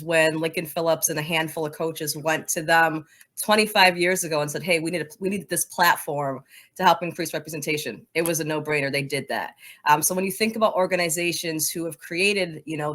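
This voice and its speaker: A woman's voice: low-pitched, a bit bright. A woman speaking as an interview guest in a conversational, emphatic way, repeating words to drive points home. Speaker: podcast guest, soccer coaching advocate.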